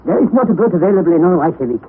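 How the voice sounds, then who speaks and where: An actor playing a formal, courteous scholar in a 1960s radio drama, speaking with polite hesitations. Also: male voice, high-pitched, animated, very fast, breathy edge. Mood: helpful. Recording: phone-like narrow 1960s radio broadcast with faint hiss.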